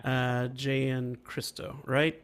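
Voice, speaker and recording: A man with a voice worn from a flu, hosting an online workshop and teaching mixing in a casual, halting way that keeps checking in with listeners over a video call. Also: mid-range voice, level tone, background noise. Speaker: workshop host and mixing instructor. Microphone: video call microphone.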